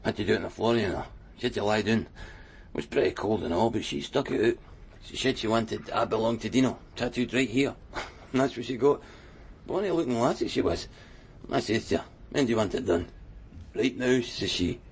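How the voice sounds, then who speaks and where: An actor playing a chatty, wistful Glasgow tattooist in a radio crime drama, rambling colloquially with a Scottish accent. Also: male voice, lowish voice, a little fast, slightly rough. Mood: chatty reminiscing. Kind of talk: naturalistic radio drama acting.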